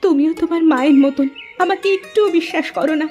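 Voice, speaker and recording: Woman voicing high-pitched, wounded young wife. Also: quick pace, a little mellow, faint music behind, lightly acted. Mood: hurt, angry. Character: wronged, wounded young village wife seeking a mother. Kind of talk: melodramatic cartoon voice acting.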